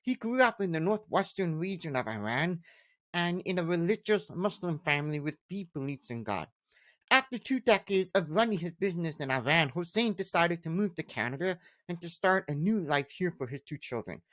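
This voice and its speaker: A man co-hosting a podcast, reading the guest introduction in a measured, steady way. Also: fairly high pitch, no echo. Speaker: podcast co-host. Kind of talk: read introduction and questions.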